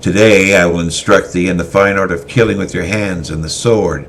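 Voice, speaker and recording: A man's voice: low-pitched, steady tone, normal speed, slight background noise, pitched above the performer's own voice. A man doing a stern, hardened old swordmaster. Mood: stern, commanding. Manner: commanding, stern. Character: cold, callous, battle-hardened male swordmaster.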